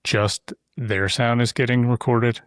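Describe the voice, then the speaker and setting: A man's voice: mid-range voice, steady tone, unhurried, clear, resonant tone, dry recording. A man hosting a podcast in a casual conversation, talking at length with loose asides on a close studio mic. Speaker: podcast host. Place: insulated shop-building studio.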